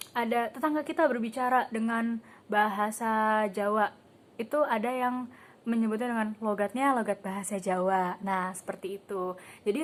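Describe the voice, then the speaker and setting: A young woman student teacher giving a casual classroom lesson, quizzing the class and calling on students by name, with casual filler words. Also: fairly high pitch. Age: young adult. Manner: asks the class questions and calls on students by name.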